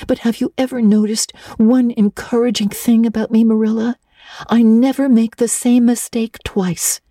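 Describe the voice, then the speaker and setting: A woman doing a dramatic young storybook girl, her voice pitched well above a grown woman's and tumbling out in eager, breathless rushes. She stammers when upset and swoons over every small joy. The sound is close and dry. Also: flat delivery, dark tone, no echo, lightly acted. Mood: mournful. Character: excitable talkative orphan girl, dramatic and eager. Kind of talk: audiobook character voice.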